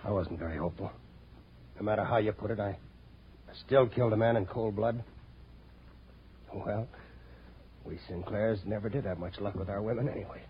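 An actor as a bitter husband, muffled old radio. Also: male voice, fairly low pitch, normal speed, pauses often, natural voice. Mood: subdued, resigned. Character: wronged husband turned killer, bitter and resigned. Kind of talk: 1940s radio acting. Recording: muffled, faintly noisy old radio recording.

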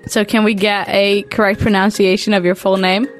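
A woman's voice: mid-range voice, little pitch movement, a little fast, faint music behind. A woman with a Swedish accent hosting a podcast and asking casual interview questions in a chatty way. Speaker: podcast host.

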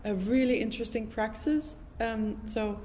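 A woman's voice: a little high, fairly even intonation, moderate pace, darkish tone. A woman hosting a casual, reflective interview, thinking aloud in an informal, enthusiastic way. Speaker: interviewer, podcast host. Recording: noisy, reverberant hall recording.

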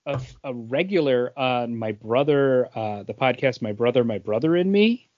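Male podcast co-host, mid-pitched voice, halting casual storytelling, dull close dry recording. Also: expressive, dry recording. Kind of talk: casual chat. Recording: dull lo-fi audio.

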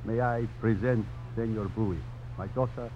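A man's voice: mid-range voice, fairly even intonation, moderate pace, close to an everyday voice. Courtly older governor played by a man, formal, faint hiss, old radio drama. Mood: courtly, genial. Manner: formal, genial, florid. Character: courtly older Spanish governor, fond father. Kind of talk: 1950s radio drama acting. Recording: muffled old radio broadcast, faint hum.

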